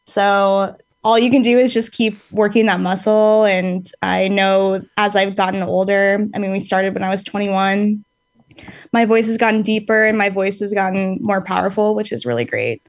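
A woman's voice: mid-range voice, steady tone, moderate pace, dry recording. Chatty young woman singer, dry sound. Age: late 20s. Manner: rambling and reflective, with many fillers and easy laughs. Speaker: band singer, podcast guest. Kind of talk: casual interview chat.

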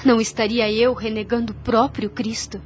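A woman's voice: fairly high pitch, level tone, moderate pace, slight background noise, natural voice. A woman playing a devout, self-sacrificing young woman in a radio soap opera, with earnest, formal, literary delivery. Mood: resolute, pious. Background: music under the voice.

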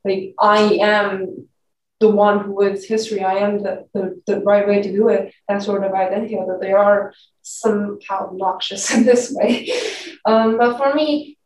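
A woman's voice: mid-range voice, steady tone, normal speed. A young woman from Shanghai, a native Mandarin speaker speaking English as a second language, talking in a thoughtful, hesitant way with frequent restarts in a casual group discussion. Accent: Shanghainese-accented Mandarin speaker; English with mixed US and British sounds. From Shanghai, China. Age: young adult. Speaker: discussion participant. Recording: echoey room, distant mic, faint noise.

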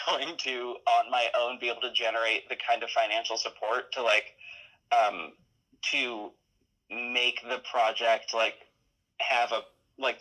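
A man chatting casually as an interview guest, halting often as he thinks aloud. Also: medium pitch, sharp tone, rough recording. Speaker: interview guest, audio drama creator.

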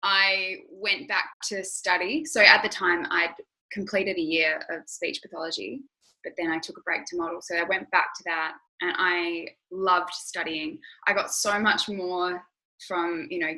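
A woman's voice: on the low side, sharp tone, rough recording. A young Australian woman speaking as an interview guest, candid and reflective, with lots of "you know" and "like". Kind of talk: conversational interview.

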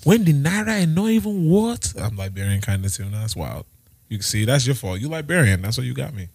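A man co-hosting a podcast, teasing his co-host in an animated, joking way. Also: medium pitch, brisk, breathy edge, no room sound. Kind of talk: casual chat.

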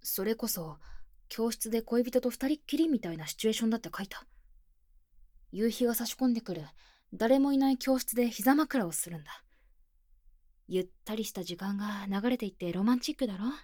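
A woman playing an earnest, bookish high-school club president with stiff, boyish phrasing, speaking softly and close in a Japanese ASMR voice drama. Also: lively intonation, brisk, dry recording. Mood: indignant, proud. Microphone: close binaural microphone, dry.

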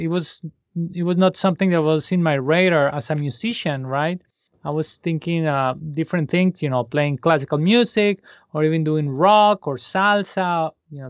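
A man's voice: fairly high pitch, no room sound, studio quality. A man from Colombia with a Colombian accent, a musician talking as an interview guest, friendly and slipping into Spanish phrases. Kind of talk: interview conversation.

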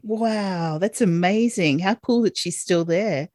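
A woman's voice: mid-range voice, darkish tone, dry recording. Woman hosting a podcast, dry sound. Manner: friendly, quick to laugh along, short prompts. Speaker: podcast host. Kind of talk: podcast interview.

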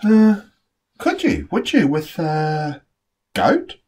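A performer voicing an eager, persistent little pest with a pleading, coaxing manner in an animated picture-book read-aloud. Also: mid-range voice, drawn-out words, slight background noise.

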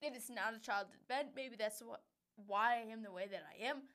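A young woman from Wisconsin co-hosts a casual podcast chat. Her voice sits a little high, and she talks bluntly, swears freely and laughs often. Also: moderate pace, fairly bright tone. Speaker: podcast co-host.

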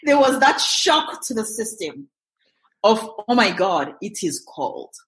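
A woman from Zimbabwe, a guest on an interview podcast, telling her story in a lively way and acting out her own past thoughts. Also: mid-range voice, slow pace, rough recording. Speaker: guest, real estate investor. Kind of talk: interview answers.

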